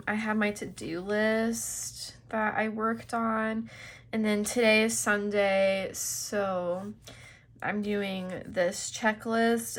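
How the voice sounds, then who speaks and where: A young woman, a little stuffed up, chatting casually through a planner flip-through with fillers and small laughs. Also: medium pitch. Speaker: planner video creator.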